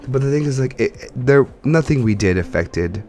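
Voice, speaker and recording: A man hosting a podcast, chatting casually about games in an animated, informal way with slangy phrasing. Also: mid-range voice, normal speed. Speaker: podcast host. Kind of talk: casual gaming podcast chat.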